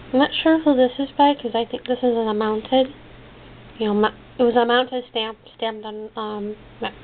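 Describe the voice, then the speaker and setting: A woman giving a casual, halting show-and-tell of her craft haul, trailing off and rephrasing as she goes. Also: a little high. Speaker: hobby crafter showing purchases. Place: indoors at a desk. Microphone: handheld camera's built-in microphone. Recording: muffled phone-like sound, clicky background noise.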